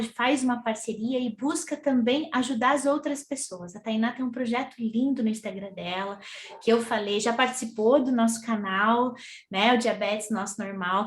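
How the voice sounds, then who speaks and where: Chatty woman hosting a live chat, echoey. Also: fairly high pitch. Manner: chatty, many fillers and tag questions. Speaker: host.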